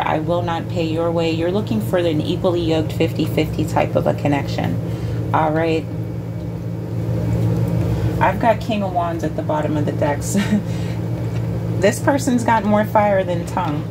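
Woman tarot reader, lowish voice, repetitive listing delivery, loud background noise. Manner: repeats phrases and lists, with frequent ums. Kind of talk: tarot card reading. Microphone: camera pointed at cards.

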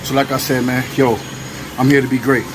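A man's voice: mid-range voice, level tone, a little fast, noisy background. A man talking in a casual, slangy, hyped-up way in a fitness vlog, with some swearing. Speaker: fitness vlogger. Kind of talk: casual motivational vlog talk. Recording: music playing behind.

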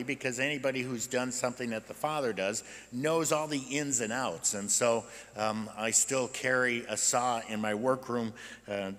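An American man in his sixties preaching a conversational, explanatory sermon as a pastor, animated and full of rhetorical questions. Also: medium pitch. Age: 60s. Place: indoors at a church pulpit. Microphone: gooseneck pulpit microphone. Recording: reverberant church sanctuary.

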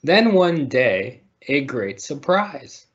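A man's voice: mid-range voice, big pitch swings, slow. A man reading a children's picture book aloud over a video call. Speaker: storybook reader. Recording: muffled, lo-fi video call audio.

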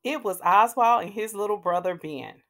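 A woman reading a children's storybook aloud in a deliberate, expressive way for young listeners. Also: mid-range voice. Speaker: children's read-aloud host. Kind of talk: scripted children's storybook narration.

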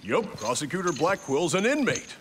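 A man's voice: on the high side, sing-song, moderate pace, background noise. A man voicing a bold, theatrical character in an anime video game dub. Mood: matter-of-fact. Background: loud music.

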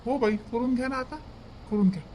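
High-pitched village priest played by a man. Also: brisk, darkish tone, slight background noise, low-quality recording. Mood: obliging. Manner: instructing, repeats his directions. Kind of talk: comedy cartoon dialogue.

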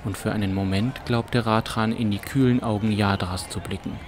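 Low-voiced male fantasy audiobook narrator. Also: steady tone, moderate pace. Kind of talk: scripted fantasy story narration. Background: music and ambience.

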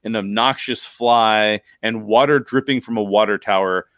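A man's voice: lowish voice, normal speed, a bit bright, dry recording. A middle-aged man with an American accent, hosting a podcast in an enthusiastic, informal, conversational way into a close microphone. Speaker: podcast host. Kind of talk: conversational podcast introduction and film discussion. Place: bedroom at home. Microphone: close podcast microphone with headphones.

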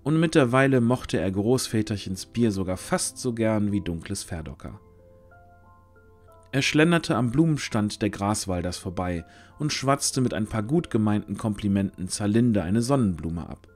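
Slightly low-voiced male storyteller. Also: lively intonation, normal speed, a little mellow, soft background music. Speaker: narrator. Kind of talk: scripted fantasy audio drama narration.

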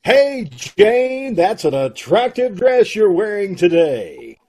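A man's voice: high voice, sing-song, slow, a little mellow, a little hiss or hum, full character voice. A middle-aged man playing a friendly, showy radio announcer in an old-time comedy, with a salesmanlike delivery, over a video call.

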